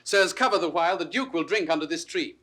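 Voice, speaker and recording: An actor playing a courteous, obliging singer who attends a lord, husky-voiced, in classical Shakespeare audio drama style. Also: male voice, fairly high pitch, quick pace, sharp tone. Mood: brisk, obliging. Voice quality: ragged, husky.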